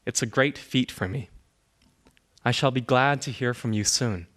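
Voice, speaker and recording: A man performs a young male immigrant farmhand's letter home as a dramatic reading. The voice sits at a medium pitch. The phrasing is measured and deliberate, with an observant, matter-of-fact storytelling manner. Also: a bit bright, lightly acted. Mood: descriptive, storytelling. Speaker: reader. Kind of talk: dramatic reading of a historical letter, scripted narration.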